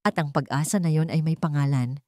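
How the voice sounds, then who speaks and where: A woman narrator reading a scripted romantic drama story in measured, short phrases. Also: sits low, mellow, dry recording, studio quality.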